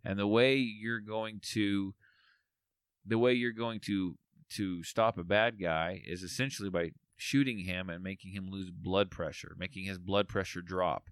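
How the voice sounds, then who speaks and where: A man co-hosting a casual podcast, tired, with a voice going a bit raspy, rambling with lots of fillers. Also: low-pitched, fairly even intonation, a little slow, dry recording, clean studio sound. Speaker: podcast co-host.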